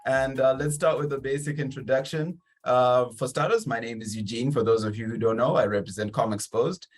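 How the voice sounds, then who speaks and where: A man's voice: mid-range voice, fairly even intonation, fairly quick. A man hosting a conversational interview in an enthusiastic, encouraging manner. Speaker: interview host.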